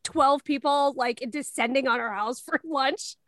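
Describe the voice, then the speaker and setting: High-voiced woman, chatty and jokey, says "like" a lot, dry close sound. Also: unhurried, fairly bright tone, no room sound. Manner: chatty, joking, full of "like" fillers. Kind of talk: casual podcast conversation.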